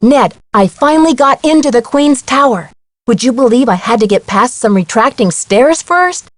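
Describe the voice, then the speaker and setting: A woman's voice: a little high, lively intonation, normal speed, no room sound, natural voice. An actress playing a curious, plucky young female amateur detective in a video game.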